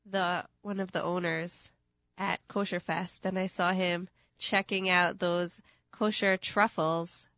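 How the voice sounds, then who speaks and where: A woman's voice: fairly low pitch, little pitch movement, slow, fairly bright tone, no echo. A woman joining a casual radio chat, adding brief, matter-of-fact remarks. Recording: dull dry studio radio sound.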